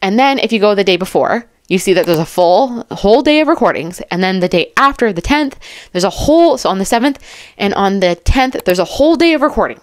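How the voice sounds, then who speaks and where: A woman's voice: medium pitch, animated, a little fast, dry recording, studio quality. A woman giving casual true crime commentary, chatty and rambling, with an emphatic, informal way of talking.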